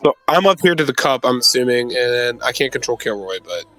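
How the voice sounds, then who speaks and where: A man's voice: mid-range voice, animated, fairly quick, bright, forceful tone, soft background music. A man playing a tabletop roleplaying game, chatting casually and speaking for his character.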